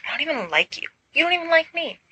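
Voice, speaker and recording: A woman's voice: high voice, sing-song, fast, pushed and bright, voice pushed up, exaggerated voice. Woman as an irritable, haughty schoolgirl. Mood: disdainful. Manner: snappish, disdainful.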